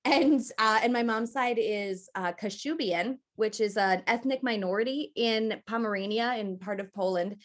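A woman from northern Wisconsin, a guest in an interview, talking in a chatty, animated way with quick asides. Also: a little high. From northern Wisconsin, USA. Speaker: guest, copper cookware maker. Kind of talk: casual interview chat.

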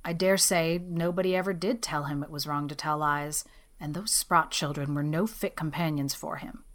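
A woman's voice: low-pitched, steady tone, moderate pace, voice pushed down, natural voice. A woman voicing a stern, grim older woman raising a child, weary and dry in manner.

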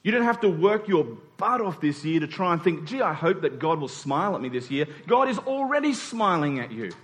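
A man preaching a sermon in an earnest, emphatic way, repeating phrases and pausing deliberately. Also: a little high, sing-song, fairly bright tone. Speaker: pastor preaching to his congregation. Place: church hall during a service. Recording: reverberant hall sound.

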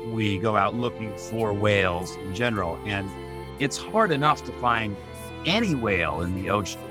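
Low-voiced filmmaker, thoughtful. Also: male voice, expressive, moderate pace. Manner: thoughtful and musing, with small repeats. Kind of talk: reflective interview talk. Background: background music.

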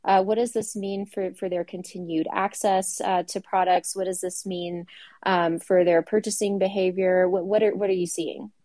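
A woman hosting a casual panel discussion, talking in a measured way with frequent fillers and putting questions to her guests. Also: medium pitch, level tone, mellow, dry recording. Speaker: podcast host.

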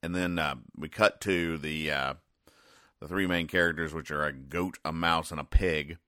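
A man hosting a casual comedy podcast, recapping things in a deadpan, rambling, halting way. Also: extremely low pitch, no room sound, clean studio sound. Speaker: podcast host.

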